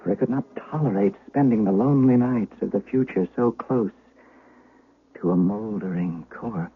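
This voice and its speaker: An anxious, haunted young shepherd played by a man in a vintage radio play. The voice is fairly low for all his youth, and the sound is muffled and narrow, like an old broadcast. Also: normal speed, a little hiss or hum, natural voice. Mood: haunted, dread. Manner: stammering, hesitant when questioned. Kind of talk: old-time radio drama acting, narrating his own tale.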